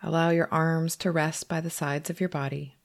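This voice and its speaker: A woman leading a guided meditation as a teacher, speaking gently and unhurriedly with long pauses. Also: deep voice, little pitch movement, creaky.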